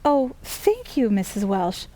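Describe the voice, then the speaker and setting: A woman playing a caring, concerned wife and mother in an amateur radio drama, earnest and gently reproachful. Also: mid-range voice, big pitch swings, slow pace, low-quality recording.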